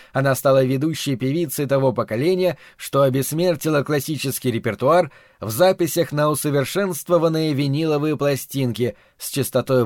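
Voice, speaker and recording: A man reading a novel aloud as an audiobook narrator, in measured, calm scripted storytelling. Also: on the high side, no echo, studio quality.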